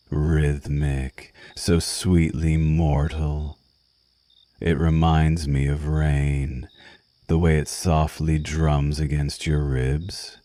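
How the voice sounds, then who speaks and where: Man acting a seductive vampire lover, dark mellow voice, close dry sound, languid teasing murmur, ASMR roleplay. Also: very deep voice, no echo, full character voice. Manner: languid, teasing, purring endearments.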